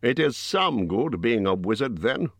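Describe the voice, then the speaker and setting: A man voicing a huge, gruff bear-man who is blunt and impolite, in a growling voice. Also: sing-song, a little slow, fairly bright tone, no room sound, exaggerated voice. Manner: booming, growling, rough.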